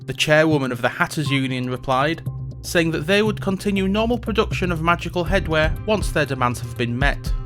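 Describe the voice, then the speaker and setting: A man voicing a grudging mage host in a comic fantasy audio show, his voice sitting a little high for a man. He drops sarcastic, deadpan asides as he reports the realm's news. Soft music plays under him. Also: a little fast, natural voice. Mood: wry. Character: reluctant sardonic male mage tourism host. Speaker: podcast host. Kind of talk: scripted comedic narration.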